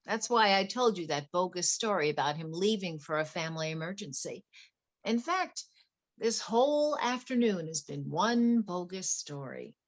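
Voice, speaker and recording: A woman's voice: on the low side, animated, normal speed. A woman acting a betrayed, vengeful wife. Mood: cold, accusing. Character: betrayed wife, coolly vengeful poisoner posing as client. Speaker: actor in a play workshop. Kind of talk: dramatic stage play reading.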